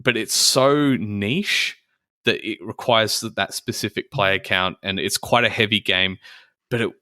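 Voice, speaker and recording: A man's voice: on the low side, a little slow, sharp tone, no room sound, clean studio sound. A man co-hosting a casual board game podcast, enthusiastic about the games he recommends. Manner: enthusiastic, upbeat. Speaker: podcast co-host. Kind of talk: casual chat.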